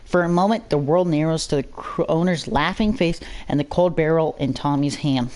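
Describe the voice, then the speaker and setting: A channel host narrating a scripted story aloud in a measured reading style. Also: medium pitch, a little mellow.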